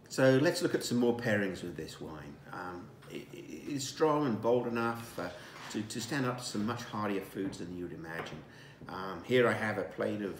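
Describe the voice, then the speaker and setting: A man in his sixties, a winemaker, presenting a wine tasting in a relaxed, savoring way with many fillers and restarts, recorded indoors at a dining table by a camera across the table. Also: fairly low pitch, reverberant, a little hiss or hum.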